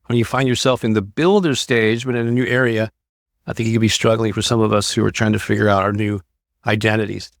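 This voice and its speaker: A man's voice: lowish voice, fairly even intonation, fast talker, creaky, no echo, studio quality. A man giving an informal motivational talk, speaking to the listener in an encouraging, conversational way. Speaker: motivational creator and host of an online show. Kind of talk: informal motivational coaching talk to an online audience.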